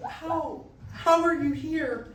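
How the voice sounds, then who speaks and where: A woman's voice: sits high, slow. A woman playing a weary, evasive male college student in a staged musical reading, casual and hesitant. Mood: shocked. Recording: very echoey hall, distant mic, noisy.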